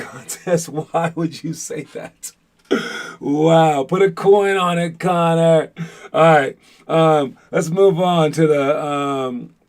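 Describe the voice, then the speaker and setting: A man's voice: on the high side, slight background noise. A man hosting a basketball show as casual chat with viewers, talking in an informal, rambling way with playful teasing.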